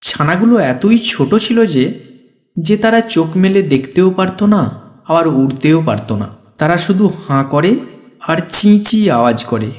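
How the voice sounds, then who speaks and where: High-voiced man narrating a children's tale. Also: lively intonation, measured pace. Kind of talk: scripted children's story narration.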